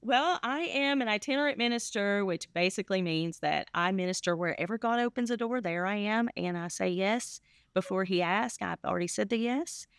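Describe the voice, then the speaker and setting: A woman's voice: medium pitch, moderate pace, smooth, no echo. A woman from the United States, a minister, who reads scripture and chats. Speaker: guest minister and Bible reader. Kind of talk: Bible reading and casual chat.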